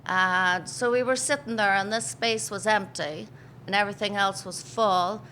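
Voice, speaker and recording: Irish woman, 50s, bright chatty storyteller, interview guest. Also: mid-range voice, steady tone, moderate pace, a little hiss or hum. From Ireland. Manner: chatty storyteller, laughs easily, acts out quotes. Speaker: pub owner, interviewee. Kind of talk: casual interview chat.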